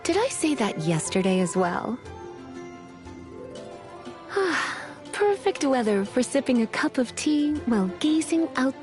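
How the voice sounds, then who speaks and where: A woman's voice: mid-range voice, lightly acted. A woman voicing a languid, teasing female mage and librarian in a fantasy video game, with a flirtatious, sleepy drawl. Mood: dreamy, teasing. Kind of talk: video game character voice lines. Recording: music behind the voice.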